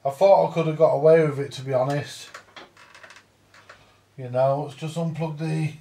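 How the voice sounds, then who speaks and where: A man's voice: fairly high pitch, fairly even intonation, normal speed, dark tone. A British man giving a casual, hands-on repair commentary, muttering to himself and swearing now and then as he works. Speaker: vintage audio repairer. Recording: roomy echo, distant mic.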